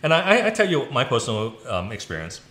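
A middle-aged man speaks in an earnest, meandering way, often restarting his sentences. His voice sits at an ordinary pitch for a man. The sound carries the echo of a reverberant room. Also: very animated, fast, pushed and bright. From Hong Kong. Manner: earnest and rambling, with frequent restarts. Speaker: interview guest. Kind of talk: candid interview answers. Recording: echoey room.

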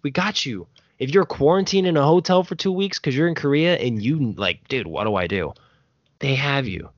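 A young American man hosts a casual podcast with lively swings in pitch. He talks in playful slang and jokey asides. The sound is close and dry, a little dull on top. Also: normal speed, dry recording. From Arizona, United States. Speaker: podcast host.

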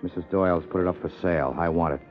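An American actor playing a guarded, tough client in a 1950s radio drama, speaking tersely and bluntly. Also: male voice, deep voice, steady tone, rapid-fire, faint music behind, close to an everyday voice. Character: guarded, tough male client with shady business. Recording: muffled 1950s radio recording.

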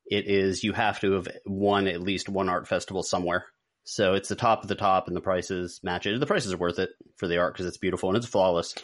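A man's voice: sits low, steady tone, fairly quick. A man co-hosting a podcast, chatting casually. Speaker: podcast co-host. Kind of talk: casual chat.

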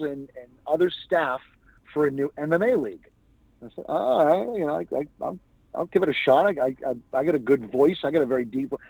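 Chatty male comedian, warm mellow voice. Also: on the high side, no echo, poor audio. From New England. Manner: chatty anecdotal storyteller. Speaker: stand-up comedian and guest. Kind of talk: casual storytelling interview.

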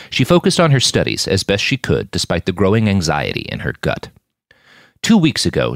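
A man's voice: low-pitched, no room sound, studio quality. A man reading scripted fiction as the narrator of an audiobook-style podcast.

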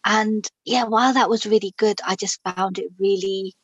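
Reflective, husky-voiced middle-aged woman. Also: medium pitch, steady tone, normal speed, dry recording, lo-fi sound. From Pakistan. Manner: frank, reflective, with frequent fillers and restarts. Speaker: guest. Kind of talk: personal storytelling in an interview.

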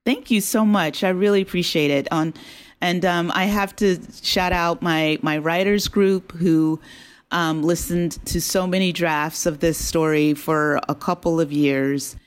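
Chatty woman with a lower-pitched voice. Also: steady tone, unhurried. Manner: chatty, appreciative, laughs easily. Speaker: interview guest, audio drama creator. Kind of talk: casual conversational interview.

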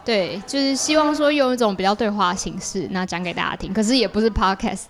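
Taiwanese woman explaining in halting, casual conversation. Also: medium pitch. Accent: Taiwanese Mandarin. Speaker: podcast guest, artist and creator of an audio drama.

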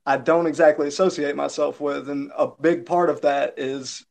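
A man with a Southern American accent, a podcast guest who talks in a thoughtful, well-spoken way with some halting restarts. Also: fairly high pitch, fairly even intonation, gravelly, a little mellow. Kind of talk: casual conversational interview.

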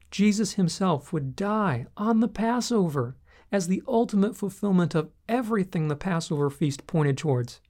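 A man reading a scripted devotional teaching aloud, with measured, deliberate phrasing and a solemn, earnest manner. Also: high voice, mellow. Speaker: Bible teacher reading own article.

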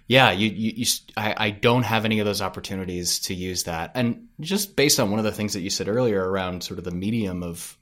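A man's voice: lowish voice, a bit bright. A man talking casually as a podcast creator being interviewed about his work.

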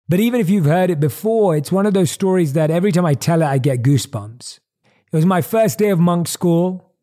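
A man giving a motivational talk, telling a reflective story and slowing down and stretching words to stress them. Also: fairly high pitch, warm tone. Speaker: host.

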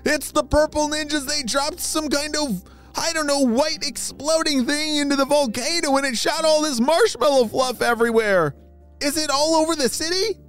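A storyteller voicing an excitable, wide-eyed young boy spy in a children's adventure tale. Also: high-pitched, normal speed, soft background music, pitched above the performer's own voice. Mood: worried. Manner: exclaiming, wide-eyed, dramatic.

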